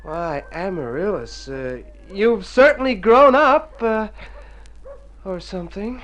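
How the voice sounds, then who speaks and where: A man's voice: high voice, expressive, takes it slowly, fairly bright tone, exaggerated voice, poor audio. An actor playing a flustered, wisecracking city man with a nervous stammer in a radio comedy. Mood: flustered, awkward. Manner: flustered, wry. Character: Tom Berryman, jittery wisecracking city man seeking a rest cure. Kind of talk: mid-century radio comedy acting.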